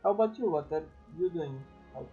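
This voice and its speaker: A man from Serbia, a streamer chatting casually and thinking aloud with hesitant pauses. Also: a little high, expressive, dark tone, muffled recording, soft background music.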